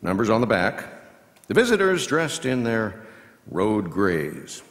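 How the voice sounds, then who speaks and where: Reverberant hall. Seasoned male baseball commentator performed by a man, folksy, highly animated intonation, measured patter and punchy calls. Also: full character voice. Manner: measured asides breaking into punchy, drawn-out calls. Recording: long hall reverb from live venue.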